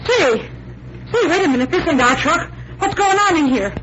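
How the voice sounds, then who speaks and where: A crooked fortune-teller woman in a vintage radio crime play. Her voice is very high and swoops around, brash, bossy and panicky in rough colloquial speech. The old recording is muffled, with clear noise. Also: expressive, moderate pace. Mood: alarmed, demanding. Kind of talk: 1940s radio mystery acting.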